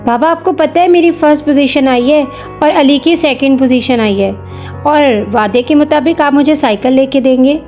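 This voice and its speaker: A woman's voice: sits high, normal speed, darkish tone, faint music behind, voice pushed up, natural voice. A woman voicing a kind, earnest young boy in a children's story, sweet and pleading. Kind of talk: children's moral story acting.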